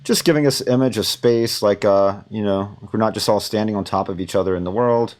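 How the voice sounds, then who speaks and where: A man teaching audio mixing, thinking aloud in a relaxed, rambling way with many fillers. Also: on the low side, fairly quick. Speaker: audio instructor.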